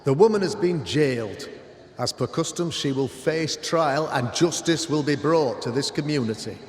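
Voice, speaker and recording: Man acting a devout colonial leader, video game, authoritative, lively intonation, echoing hall. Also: fairly high pitch, normal speed, a little hiss or hum, natural voice. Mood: placating, official. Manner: formal, authoritative. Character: indignant, pious colonial governor.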